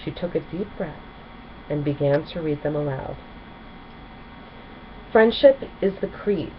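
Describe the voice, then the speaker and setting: A woman's voice: deep voice, unhurried. A woman storyteller narrating a children's book expressively. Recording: dull recording with faint noise.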